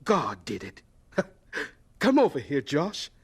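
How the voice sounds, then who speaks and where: Husky, halting old professor. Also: male voice, fairly high pitch, wildly swooping pitch, exaggerated voice. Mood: excited, reverent. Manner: halting, stammering, slow and reverent. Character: gentle, devout older male professor, excited inventor. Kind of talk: radio drama acting.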